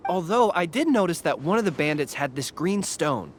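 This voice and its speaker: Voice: medium pitch, sing-song, moderate pace, slight background noise, close to an everyday voice. An actor playing a jumpy, aggrieved desert caravan leader in a video game, agitated and defensive.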